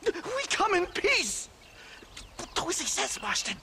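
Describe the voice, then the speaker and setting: An actor playing a nervous, pompous, self-righteous academic in a video game, stammering and flustered. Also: male voice, very high voice, huge pitch swings, takes it slowly, vocal fry, very noisy, full character voice. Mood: panicky. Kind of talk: theatrical video game cutscene acting.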